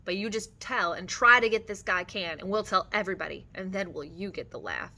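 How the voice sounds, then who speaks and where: A tough, fair-minded ranch boss type is read by a woman with blunt country phrasing. The voice is crisp and forceful, carrying plain authority in a storybook telling. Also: mid-range voice, animated, fairly quick. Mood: firm. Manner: blunt, folksy rural speech.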